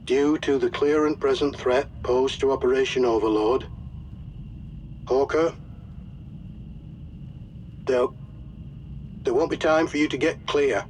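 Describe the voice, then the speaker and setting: A solemn Allied officer, acted by a man, delivers orders in a mid-pitched, authoritative male voice. He is formal and deliberate, as in a scripted game cutscene. Faint noise sits in the background. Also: fairly even intonation, darkish tone, natural voice, poor audio. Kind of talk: formal scripted mission briefing, video game.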